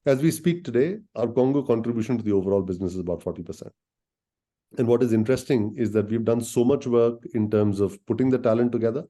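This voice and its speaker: A man speaking as a company executive in a business presentation, explaining things directly to listeners in halting phrases with many filled pauses. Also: fairly low pitch, warm tone. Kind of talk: business presentation on an earnings call.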